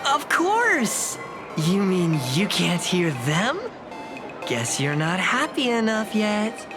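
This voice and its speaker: A woman's voice: mid-range voice, big pitch swings, slow pace, over music. A woman playing a friendly, cheerful cult member in video game dialogue. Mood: blissful, teasing.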